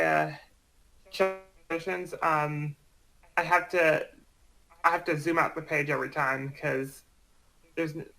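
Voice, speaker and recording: A man's voice: on the high side, creaky, sharp tone, poor audio. A man in a casual group chat, talking hesitantly and often repeating phrases. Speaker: project organiser.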